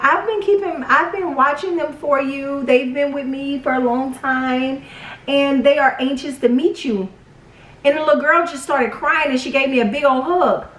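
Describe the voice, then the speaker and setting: High-voiced woman telling stories, roomy echo. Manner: animated, dramatic retelling with acted quotes. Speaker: vlog storyteller. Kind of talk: casual personal storytelling. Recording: roomy echo, distant from mic.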